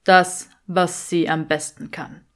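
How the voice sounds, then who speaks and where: Sardonic young wizard, voiced by a woman, lowered pitch, dry, grumbling, emphatic, audiobook character. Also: flat delivery, slow.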